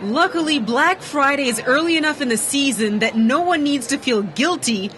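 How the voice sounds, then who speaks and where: A young woman delivering a local TV news report in a steady, clear voice that sits a little high. Light noise hangs under the track. She keeps a measured reporter's pace.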